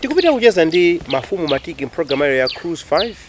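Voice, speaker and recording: A man's voice: fairly high pitch, big pitch swings, fast, over music. A man with a Malawian accent hosting a conversational interview, switching between Chichewa and English. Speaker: radio show host interviewing a guest.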